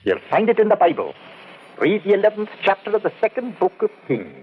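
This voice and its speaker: An actor playing a dour, superstitious estate overseer with a husky voice in an old-time radio drama. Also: male voice, high voice, wildly swooping pitch, brisk, narrow, tinny audio, exaggerated voice. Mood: ominous.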